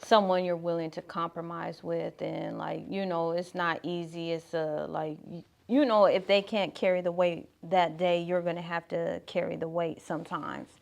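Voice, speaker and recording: A woman's voice: fairly low pitch, steady tone, normal speed, creaky, hardly any pauses, a little mellow. A woman in her thirties, a guest on a casual interview, giving thoughtful, earnest advice about love. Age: 30s.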